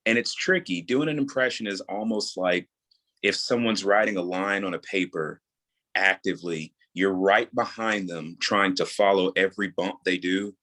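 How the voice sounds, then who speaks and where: A man from New York talks in a low, deep voice with a soothing tone. He is an interview guest, explaining his craft casually and in an easygoing manner. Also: normal speed, pushed and bright. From New York, USA. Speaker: guest, audio drama creator and voice actor.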